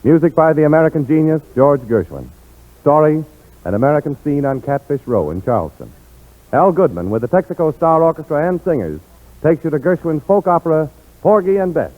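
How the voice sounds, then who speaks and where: Male radio host, vintage forties announcer, polished and declamatory with lively swings in pitch, thin band-limited sound. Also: brisk. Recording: tinny, band-limited 1940s radio broadcast.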